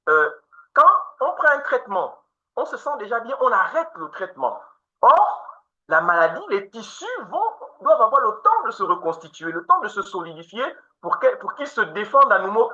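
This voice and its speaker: Bright-voiced man hosting an online call. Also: high-pitched. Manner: steady and explaining, counting points off in order. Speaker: webinar host answering questions on natural detox treatments. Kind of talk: explanatory question-and-answer. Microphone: online video call. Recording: dull lo-fi call audio.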